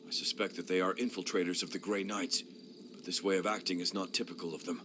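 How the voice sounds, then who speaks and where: A man voicing a grave, watchful lord commander in a game cutscene, speaking in a deliberate way. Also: low voice, fairly even intonation, a bit bright, slight background noise.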